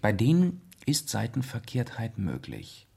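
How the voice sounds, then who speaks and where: A shrewd gentleman detective in a radio play. His fairly low voice stays polite and measured, choosing words precisely while gently insinuating that he knows more. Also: huge pitch swings, gravelly, exaggerated voice. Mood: explanatory. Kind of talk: German radio play acting.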